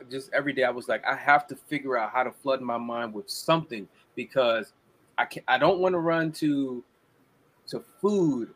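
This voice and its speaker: Animated young man telling a story. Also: mid-range voice. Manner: animated storyteller, acts out quotes. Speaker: guest. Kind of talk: casual interview talk. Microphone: video call.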